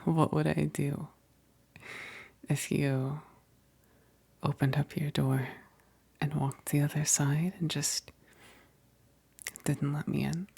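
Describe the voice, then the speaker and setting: A woman playing a teasing, affectionate, wistful vampire best friend in a soft, breathy whispered ASMR roleplay, speaking hesitantly. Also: sits low, level tone, heavily acted. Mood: playful pleading. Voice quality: breathy, husky whisper with gravelly edges. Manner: slow, hesitant, punctuated with soft chuckles.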